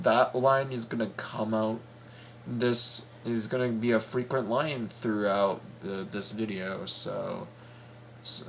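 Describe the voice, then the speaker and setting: A man giving casual reaction commentary, explaining things in a halting, repetitive way. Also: lowish voice, a bit bright, slight background noise. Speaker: reaction video host.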